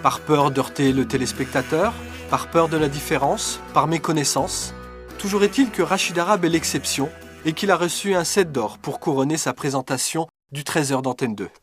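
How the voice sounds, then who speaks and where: A man narrates a documentary in a measured, earnest voice that sits a little high. Music plays clearly beneath his words. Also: a bit bright. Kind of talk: scripted voice-over narration.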